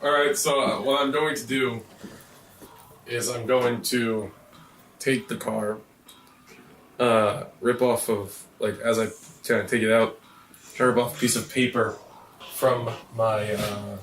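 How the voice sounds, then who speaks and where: A man's voice: medium pitch, fairly even intonation, measured pace, pauses often, a bit bright. A man playing a tabletop game, talking casually and hesitantly as he thinks aloud. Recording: echoey, distant mic, faint noise.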